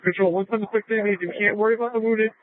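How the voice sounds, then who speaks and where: A man's voice: high voice, fairly even intonation, fast, dry recording, narrow, tinny audio. A male police officer making urgent radio reports, heard through a police scanner. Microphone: two-way police radio.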